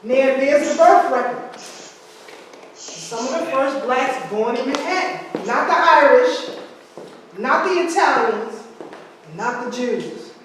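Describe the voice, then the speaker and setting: Woman lecturer, high register, conversational asides; long hall reverb, distant camera mic. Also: lively intonation, slow, pauses often, slight background noise. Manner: asides and rhetorical questions to audience. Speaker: history speaker. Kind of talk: lecture reading from slides. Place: large room with hard walls. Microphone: far camera. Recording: echoey hall from far off.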